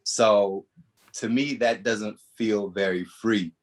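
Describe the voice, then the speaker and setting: A man, a podcast guest, speaking slowly and thoughtfully, often pausing to search for words. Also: lowish voice, fairly even intonation. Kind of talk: casual interview talk.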